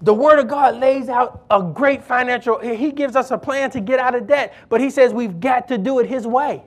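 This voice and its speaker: A pastor with a strikingly high voice for a man preaches to his congregation. He drives points home by repeating phrases and urging listeners directly. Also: normal speed. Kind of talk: sermon.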